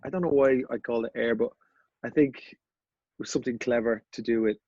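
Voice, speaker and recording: A man in his thirties with a Dublin Irish accent, a podcast guest telling his story in a relaxed, rambling way with many fillers and restarts. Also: medium pitch, a little fast, husky, no room sound, highs cut off. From Ireland. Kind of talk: casual interview chat.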